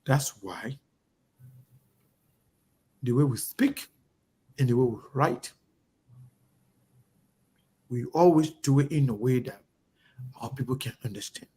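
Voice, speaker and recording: A man's voice: mid-range voice, slow pace, long pauses, warm tone, breathy edge. A middle-aged Liberian man with a Liberian English accent, delivering an emphatic, repetitive talk-show monologue with a preacher-like cadence. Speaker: online talk show host. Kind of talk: impassioned monologue.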